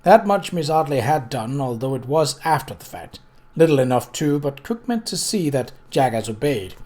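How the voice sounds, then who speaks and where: A reader narrating a story aloud from a script in an expressive way, as in an audiobook. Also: medium pitch, normal speed.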